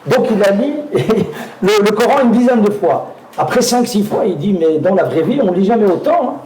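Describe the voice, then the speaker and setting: A man's voice: sits high, sing-song, brisk, reverberant, noisy background. A man, an actor guest telling anecdotes on stage, hesitant with many fillers and restarts, often laughing. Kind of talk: live talk on stage, storytelling.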